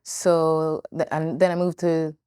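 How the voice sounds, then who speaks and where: A teenage girl speaks haltingly, pausing to search for her words. Her voice is smooth and clear and a little low, and the sound is close and dry. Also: little pitch movement, mellow, dry recording. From born in Spain, living in Northern Ireland. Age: teens. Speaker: co-host. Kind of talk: casual chat.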